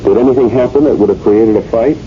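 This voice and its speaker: A man playing an earnest, dogged police lieutenant in a 1970s radio drama, with a halting but insistent manner. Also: medium pitch, natural voice. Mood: businesslike, probing. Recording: muffled, hissy old radio broadcast recording.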